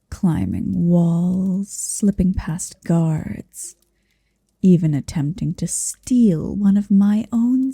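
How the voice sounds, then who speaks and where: A woman's voice: fairly low pitch, gravelly, dark tone, husky, no room sound, heavily acted. A woman playing a huge, possessive, motherly female dragon in an ASMR roleplay, tenderly domineering and teasing, often whispering close to the mic. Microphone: close-up microphone, dry.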